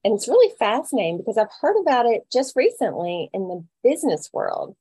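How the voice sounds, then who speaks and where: A woman co-hosting an education podcast, opening the show and reading out a guest's introduction in a measured way. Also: on the high side, big pitch swings, warm tone, slightly rough, no room sound. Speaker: podcast co-host, English education professor.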